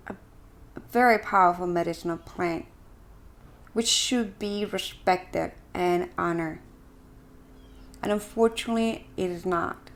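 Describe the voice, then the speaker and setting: A woman from Ecuador with an Ecuadorian Spanish accent, speaking earnestly and deliberately to viewers as a practitioner, with emphatic pauses. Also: medium pitch. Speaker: shamanic practitioner and video host. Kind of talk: earnest explanatory talk to camera.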